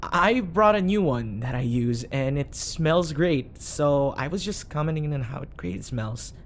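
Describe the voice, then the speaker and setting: A man's voice: a little high, lively intonation, a little slow, a little hiss or hum. A man playing an awkward, eager, obsessive bodyguard sidekick who rambles and corrects himself in an audio roleplay. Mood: flustered, needy. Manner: rambling, flustered, self-correcting.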